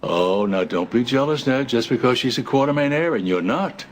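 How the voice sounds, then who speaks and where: Actor as blunt, commanding old patriarch. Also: male voice, mid-range voice, expressive, moderate pace, natural voice. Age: older. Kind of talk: soap opera acting.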